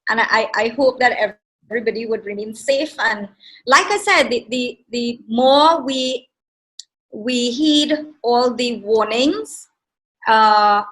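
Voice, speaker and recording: Woman from India, Trinidadian accent, middle-aged, earnest interview guest, fairly high voice. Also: takes it slowly, a bit bright, low-quality recording. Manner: earnest, many fillers and restarts. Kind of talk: casual interview chat.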